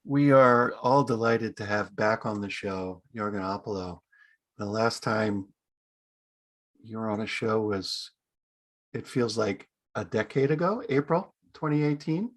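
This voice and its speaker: A man's voice: fairly low pitch, unhurried. A man hosting a podcast, chatty and friendly, asking short easy questions. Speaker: podcast host. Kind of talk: casual interview hosting.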